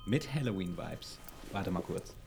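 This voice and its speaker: A man's voice: deep voice, very animated, measured pace, vocal fry, heavy background noise. A man who hosts a podcast, acting out casual dialogue in an audio play. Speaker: podcast host. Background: music.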